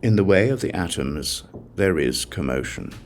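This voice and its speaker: A man reading a religious text as an audiobook narrator, in a solemn, measured recitation. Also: sits low, expressive, slight background noise. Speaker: audiobook reader.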